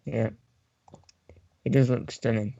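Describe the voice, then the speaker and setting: A man's voice: medium pitch, steady tone, takes it slowly, vocal fry, mellow, no echo. A man chatting casually about video games as a podcast panellist. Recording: dull, close, dry microphone sound.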